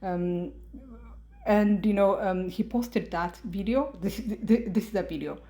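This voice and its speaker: A woman delivers a scornful, opinionated commentary, often stopping to restart her sentences. Her voice is soft and mellow. The room around her rings with a noticeable echo. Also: medium pitch, a little slow. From Ethiopia. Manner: critical and mocking, many fillers and restarts. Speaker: podcast host. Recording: roomy echo.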